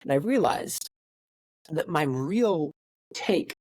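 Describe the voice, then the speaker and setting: A man in his late twenties, a podcast guest talking candidly in a reflective, halting way with many fillers and restarts. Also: on the high side, animated, darkish tone, husky, dry recording, clean studio sound. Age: 20s. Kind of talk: candid conversational interview.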